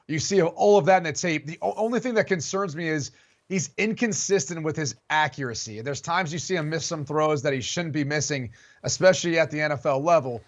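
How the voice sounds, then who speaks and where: Measured male football analyst. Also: fairly high pitch. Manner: measured, methodical assessment. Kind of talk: studio sports analysis.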